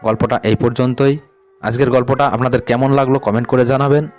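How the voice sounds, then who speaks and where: A man's voice: medium pitch, steady tone, brisk, a little mellow. Man narrating a ghost story.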